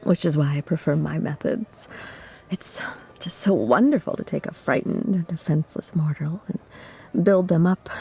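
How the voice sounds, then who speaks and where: A woman playing a gentle, doting vampire who soothes her mortal lover, breathy and creaky, in an ASMR-style audio drama. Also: lowish voice, full character voice. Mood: calm, earnest. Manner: tender, soothing, measured. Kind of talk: ASMR audio roleplay acting.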